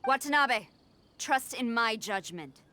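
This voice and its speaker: A woman's voice: fairly high pitch, expressive, slow pace, sharp tone, a little hiss or hum, heavily acted. A woman playing a stern, dignified army general in an anime game, formal and authoritative. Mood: urgent, commanding.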